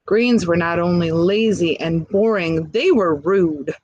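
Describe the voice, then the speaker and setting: Unhurried woman reading a picture book. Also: on the low side, darkish tone, breathy edge, no room sound, lo-fi sound. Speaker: storybook reader. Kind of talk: expressive picture-book read-aloud with asides to children.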